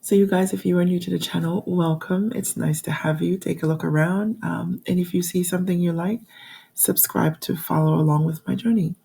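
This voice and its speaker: A woman in her forties, hoarse from a cold, chatting to viewers about travel costs in a casual vlog with frequent ums. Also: lowish voice, steady tone, fairly quick, dark tone. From New York. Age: 40s. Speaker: budgeting channel host. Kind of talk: casual talking-to-camera vlog.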